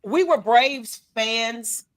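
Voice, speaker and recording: A woman's voice: very slow, poor audio. A woman, co-hosting a casual fan chat and laughing often. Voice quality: low-toned voice. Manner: laughs often, loose and chatty. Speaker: podcast co-host.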